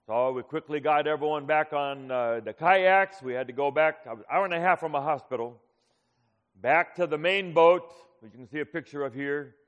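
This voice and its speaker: Conversational pastor in an echoing hall. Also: male voice, on the high side. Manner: conversational storytelling with frequent "uh" pauses. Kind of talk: sermon with personal stories. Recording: muffled hall recording with long reverb.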